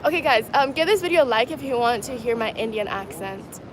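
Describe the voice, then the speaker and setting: A fairly high-voiced woman streams outdoors in a city at dusk. She chats casually with her viewers and reads their comments aloud. Clear traffic and street noise runs behind her. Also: animated, brisk. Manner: chatty, laughs easily, talks to viewers as "guys". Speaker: vlogger reading live chat. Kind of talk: casual livestream chat.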